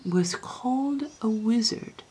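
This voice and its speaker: A woman's voice: fairly low pitch, sing-song, a little slow, breathy edge, heavily acted. Woman playing a fussy, hesitant male royal courtier. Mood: agitated. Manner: formal, hesitant, hedging.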